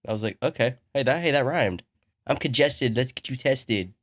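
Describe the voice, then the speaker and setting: A man's voice: mid-range voice, expressive, normal speed. A man co-hosting a casual podcast while sick, sounding congested and nasal, joking and laughing at his own puns. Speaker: podcast co-host.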